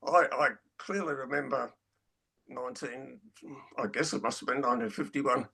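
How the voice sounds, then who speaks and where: An older man with a husky voice reminiscing in a halting way, repeating words as he recollects. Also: medium pitch, pushed and bright. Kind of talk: reminiscing in a webinar discussion.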